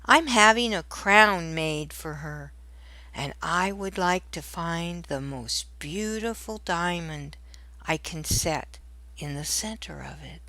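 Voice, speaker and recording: A polite young storybook prince, earnest and in love, read by a woman. The words come very slowly and with courtly care, in a dry, close sound. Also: lowish voice, sharp tone, no room sound, heavily acted, low-quality recording. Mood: earnest, loving.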